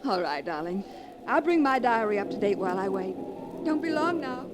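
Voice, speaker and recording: An actress playing an affectionate but uneasy wife, earnest and refined, in a 1940s radio drama. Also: female voice, medium pitch, very animated, moderate pace, close to an everyday voice. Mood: relieved. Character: anxious, affectionate young wife of a painter. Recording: faintly noisy 1940s radio recording.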